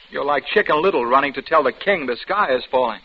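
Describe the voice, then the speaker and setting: An actor playing a coaxing, condescending male doctor in an old radio drama, brisk and reassuring. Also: medium pitch, lively intonation, crowd noise, natural voice. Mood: patronizing. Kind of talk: 1940s-50s radio drama acting. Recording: muffled old radio recording, faint noise.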